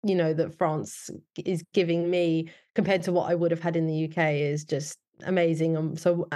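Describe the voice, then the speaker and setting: A woman's voice: low-pitched, flat delivery, moderate pace, dark tone. A British woman talking casually and chattily as a guest in an interview, in an informal conversational way. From United Kingdom. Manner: chatty, informal, frequent "like" and "you know". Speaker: wedding photographer, interview guest.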